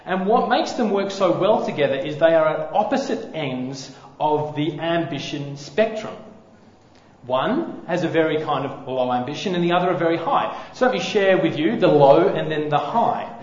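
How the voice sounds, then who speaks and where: Loud man preaching in an echoey hall. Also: a little high, normal speed. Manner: loud voice, relaxed and self-deprecating. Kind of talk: wedding-day sermon. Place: church hall. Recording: echoey hall, slightly dull sound.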